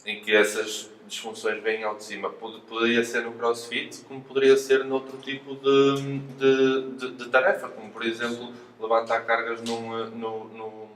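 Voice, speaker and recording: A man's voice: medium pitch, level tone, normal speed, few pauses, fairly bright tone. A man with a European Portuguese accent explains things as an interviewed physiotherapist, hesitating and repeating words as he goes. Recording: roomy echo, distant mic, faint noise.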